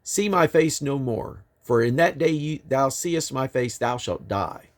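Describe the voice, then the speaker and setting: A man reading the Bible aloud in deliberate, emphatic phrases, with an occasional stumble. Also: medium pitch, animated. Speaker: Bible reader.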